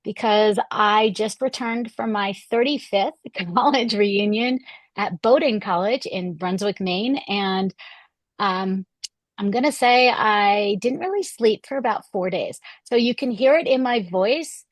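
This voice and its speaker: A woman in her fifties hosting a podcast interview, her voice hoarse, chatty and upbeat with occasional fillers. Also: medium pitch, unhurried, no room sound. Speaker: podcast host.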